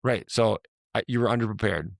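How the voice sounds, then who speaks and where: A man hosting a casual talk, his voice hoarse and raspy from a week of yelling, rambling and restarting as he asks questions. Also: fairly low pitch, brisk, no room sound, clean studio sound. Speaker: podcast host, fitness coach. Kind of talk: casual chat.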